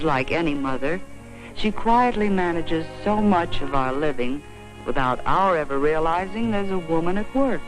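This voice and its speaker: An American woman narrating an educational film in a measured, explanatory, carefully phrased way, on a vintage 1940s soundtrack. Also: deep voice, rough recording. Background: faint music.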